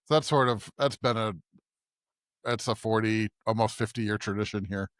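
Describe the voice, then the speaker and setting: A man's voice: fairly low pitch, moderate pace, dry recording. Conversational American man, dry close sound. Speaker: podcast co-host and pastor. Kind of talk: casual podcast chat.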